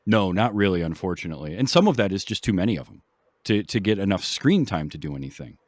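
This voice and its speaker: A man hosting a casual film discussion in a conversational, measured, agreeable way. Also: sits low, animated, dry recording. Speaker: film podcast host.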